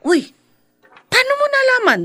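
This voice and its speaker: Frank, teasing Filipino confidante in radio drama, swooping delivery, dry studio sound. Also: female voice, very high-pitched, very animated, moderate pace, pushed and bright, dry recording, exaggerated voice. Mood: gossipy. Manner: teasing, scolding, chatty. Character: frank, gossipy, exasperated woman friend of a mother.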